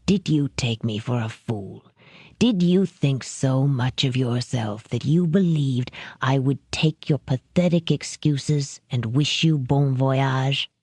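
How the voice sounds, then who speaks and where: Woman doing cold sadistic male crime boss, low hushed voice, clipped menace, dry recording. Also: animated, slow pace, breathy edge, no room sound, full character voice. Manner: soft, clipped menace breaking into rage.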